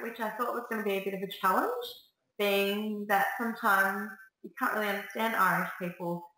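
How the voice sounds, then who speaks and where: A woman's voice: mid-range voice, bright, forceful tone. A woman in her thirties, a salon owner, giving chatty, upbeat business tips straight to a webcam in a small salon room. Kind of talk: direct-to-camera business advice. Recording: echoey room.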